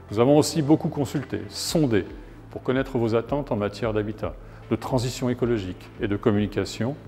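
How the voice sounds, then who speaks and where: A man from France, a local elected official, reading a scripted New Year address in a formal, measured way. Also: medium pitch, darkish tone. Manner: formal, measured delivery of a prepared speech. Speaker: local elected official, head of an intercommunal council. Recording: long hall reverb, music underneath. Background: background music.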